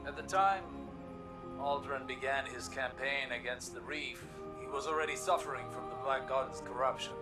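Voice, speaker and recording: A grave, thoughtful male scholar from a sci-fi video game, played by an actor, speaks formally and earnestly in a mid-pitched, measured voice. Prominent music plays underneath. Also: natural voice. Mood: reflective, gentle. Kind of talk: scripted video game dialogue.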